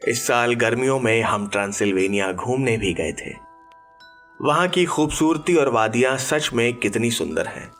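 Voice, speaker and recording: A man narrating a horror audiobook in a measured, deliberate, dramatic storytelling way over background music. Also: medium pitch, fairly bright tone.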